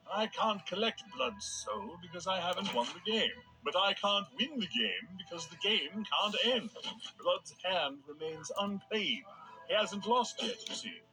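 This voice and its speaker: A man's voice: sits high, rough recording. A man voicing a prim, defensive soul-collecting spirit in a video game, wordy and exasperated. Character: fussy, defensive supernatural collector of souls. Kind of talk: scripted game character monologue. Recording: music behind the voice. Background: music.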